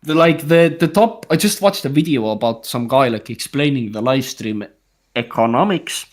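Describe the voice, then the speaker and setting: A man's voice: mid-range voice, moderate pace. A man, a guest on a group video call, talking bluntly and swearing freely as he rambles through stories. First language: Estonian. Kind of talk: casual chat on a group call.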